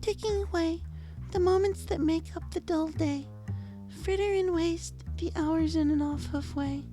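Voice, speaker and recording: Gentle shy female pony acted by a woman, extremely high voice, mellow, measured storybook delivery. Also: faint music behind. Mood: dreamy recital. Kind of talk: fan fiction audio drama reading.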